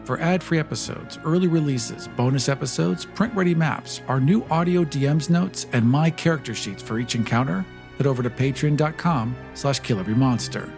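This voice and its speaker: A man's voice: on the high side, normal speed, darkish tone, faint music behind. A man hosting a podcast, casually narrating as a tabletop roleplaying player.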